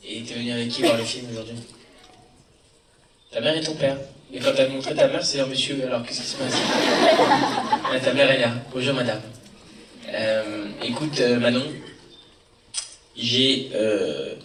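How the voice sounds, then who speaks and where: A man's voice: medium pitch, a little slow, pauses often, noisy background. A man, a comedian, presenting a film and joking and teasing with the audience at a premiere. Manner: teasing, playful, addresses the crowd directly. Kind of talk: joking live address to a crowd. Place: large cinema auditorium. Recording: echoey hall, distant, loud crowd.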